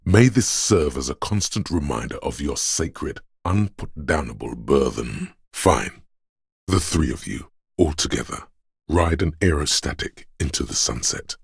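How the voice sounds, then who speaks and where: A man voicing a grim, stoic inner voice of endurance for a game, in a solemn, portentous manner with a husky, gravelly quality. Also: very deep voice, lively intonation, a little slow, no echo, clean studio sound, exaggerated voice. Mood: grim, taunting. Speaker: voice actor. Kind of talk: dramatic game narration, second person.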